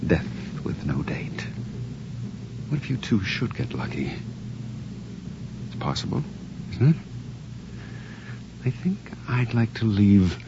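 An actor playing a doomed, sardonic husband who broods and schemes theatrically in a screen drama. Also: male voice, sits low, background noise, heavily acted. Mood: brooding, sardonic. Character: doomed, scheming, sardonic husband plotting revenge on his wife. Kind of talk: dramatic screen acting, brooding monologue and dialogue. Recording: muffled soundtrack under loud music.

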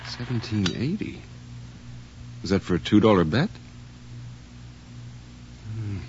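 An obsessive, excitable married man, a radio tinkerer in an audio drama. His voice swings widely as he mutters and stammers. The recording is dull, with a faint hum. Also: on the low side, takes it slowly. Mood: puzzled. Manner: muttering to himself, stammering when agitated.

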